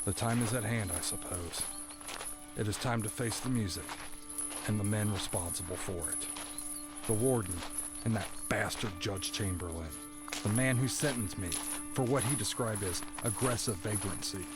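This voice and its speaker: An actor playing a bitter, doomed prisoner who narrates a first-person audio drama with brooding, dramatic phrasing. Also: male voice, fairly low pitch, normal speed, music in the background, close to an everyday voice. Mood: bitter, resigned.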